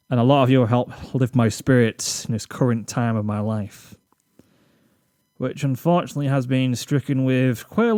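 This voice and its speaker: A man's voice: mid-range voice, mellow, no echo, clean studio sound. A British man reading a scripted reflection to his channel's viewers in a rambling, self-deprecating, slightly awkward way, sitting at a desk microphone. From Britain. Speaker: YouTube channel host.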